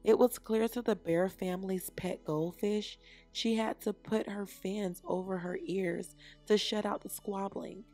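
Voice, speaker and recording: A woman reads a children's storybook at a very slow pace. Her phrasing is deliberate and expressive, with music softly behind her. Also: medium pitch. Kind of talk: read-aloud scripted narration.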